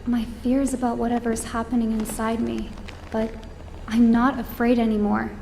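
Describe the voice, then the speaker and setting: A woman voicing an earnest young woman in a video game cutscene, speaking with measured intensity. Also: fairly high pitch, flat delivery, vocal fry, dark tone. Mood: solemn, resolute. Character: earnest young woman insisting on control over herself. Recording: long reverb with background noise.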